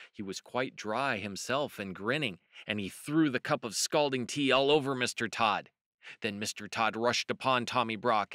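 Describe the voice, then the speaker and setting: A man narrating a children's storybook as host, measured and expressive. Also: fairly low pitch, no room sound, clean studio sound.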